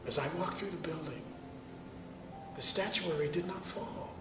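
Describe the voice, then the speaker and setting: A man playing an impassioned American abolitionist, giving an oratorical dramatic reading of his letter with pauses and swells. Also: fairly high pitch, natural voice. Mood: wry triumph. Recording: roomy, distant microphone, music.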